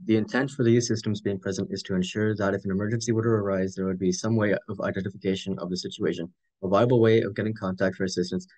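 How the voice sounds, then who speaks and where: A man's voice: on the low side, steady tone, quick pace, dark tone. A teenage boy from Texas reading his part of a school presentation over a video call, hurrying through the script. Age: teens. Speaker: student presenter.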